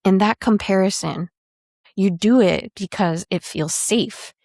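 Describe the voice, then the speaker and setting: A woman's voice: mid-range voice, unhurried, a little mellow, no echo, studio quality. A woman in her thirties hosting a solo podcast as a career coach, talking in a reflective, explanatory way.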